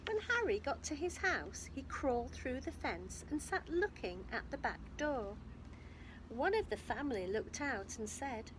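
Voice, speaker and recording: Animated, high-voiced woman reading a story. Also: measured pace, noisy background. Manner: expressive, emphatic storytelling with questions to listeners. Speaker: infant school storyteller. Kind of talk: reading a picture book aloud to young children, with asides.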